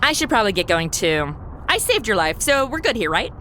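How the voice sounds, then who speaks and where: A woman's voice: medium pitch, big pitch swings, brisk, pushed and bright, a little hiss or hum. A woman playing a sassy, flippant young guardian angel in a comedy audio drama, talking in blunt, sarcastic modern slang.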